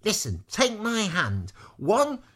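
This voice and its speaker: A storyteller voicing a determined young girl rescuer who speaks with halting urgency. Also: mid-range voice, wildly swooping pitch, a bit bright, pitched above the performer's own voice, full character voice. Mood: urgent.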